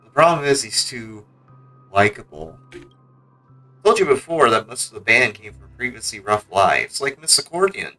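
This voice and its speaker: A man's voice: mid-range voice, sing-song, normal speed, pushed and bright, rough recording. A man reading out the game lines of a chatty, aging female cello who keeps a music shop. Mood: confiding, fretful. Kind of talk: reading game dialogue aloud.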